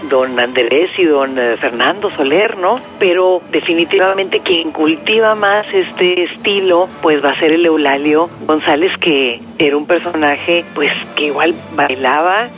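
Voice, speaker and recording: A man from northern Mexico with a regional accent, reflecting conversationally as an interviewed expert and pausing to search for words. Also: fairly high pitch, a bit bright, soft background music. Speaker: interviewed expert on film history. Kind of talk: documentary interview, reflective explanation.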